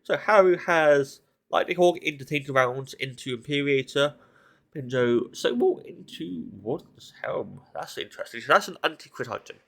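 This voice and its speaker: A man's voice: a little high, lively intonation, normal speed, gravelly, fairly bright tone. A man with a blocked nose from hay fever, giving casual, analytical solo commentary on a recorded esports match. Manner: casual, analytical, thinking aloud.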